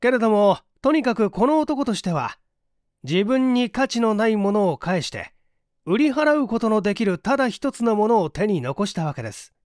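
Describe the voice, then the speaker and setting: A man's voice: high-pitched, very animated, normal speed, a little mellow, dry recording, clean studio sound, voice pushed up. A man voicing a composed, analytical detective doctor in an audiobook, precise and polite as he reasons. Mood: probing. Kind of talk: audiobook character reading.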